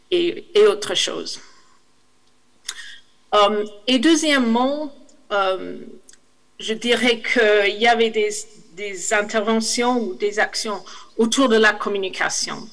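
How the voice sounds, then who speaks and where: Brief: non-native woman consultant, slow and hesitant delivery, English accent, video call. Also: medium pitch, fairly bright tone, low-quality recording. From England. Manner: hesitant, many fillers and restarts. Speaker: international consultant. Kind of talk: online conference presentation.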